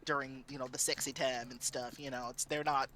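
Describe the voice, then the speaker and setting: A woman's voice: a bass voice, little pitch movement, brisk, a bit bright, a little hiss or hum. A woman from Southern California chatting casually as a streamer on a panel, in an informal, rambling way peppered with "you know". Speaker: visual novel streamer, panelist.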